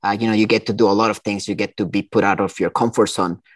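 A man, a guest talking in a relaxed, rambling way. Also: lowish voice, quick pace, no echo. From Costa Rica. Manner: relaxed, rambling, many fillers and restarts. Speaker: entrepreneur guest. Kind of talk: casual interview.

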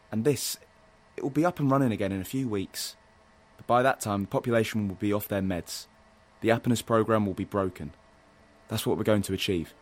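A man's voice: fairly low pitch, lightly acted. An actor playing a resolute, protective male rebel fighter in an audio drama, speaking firmly and tersely. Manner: urgent, firm, terse.

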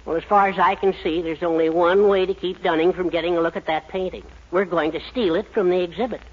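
A man's voice: high voice, steady tone, fast. An actor playing a confident, scheming older American man in a 1940s radio comedy. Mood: sly, persuasive. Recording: muffled 1940s radio recording.

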